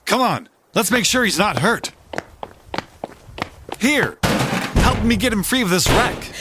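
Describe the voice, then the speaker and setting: A man's voice: high voice, sing-song, takes it slowly, pushed and bright, slight background noise, heavily acted. An actor playing a square-jawed heroic man in an earnest 1930s radio serial style.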